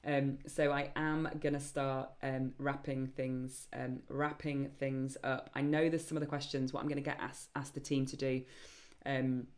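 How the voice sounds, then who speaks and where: Croaky-voiced woman hosting a webinar. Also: a bass voice, level tone, normal speed, darkish tone. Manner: chatty and enthusiastic, frequent ums. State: voice getting croaky. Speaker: webinar host and program founder. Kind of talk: live online Q&A session.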